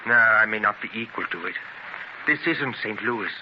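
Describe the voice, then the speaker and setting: An actor playing an ambitious, intense immigrant newspaper publisher in earnest, declamatory 1930s radio drama style. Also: male voice, lowish voice, animated, normal speed, lightly acted. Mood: restless, doubting. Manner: earnest, declamatory, theatrical delivery. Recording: noisy, dull 1930s radio transcription.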